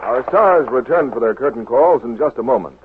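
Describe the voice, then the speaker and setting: A man's voice: mid-range voice, animated, fairly quick, heavy background noise. An American man working as a radio announcer, delivering scripted 1940s advertising copy. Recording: muffled, dry 1940s radio broadcast.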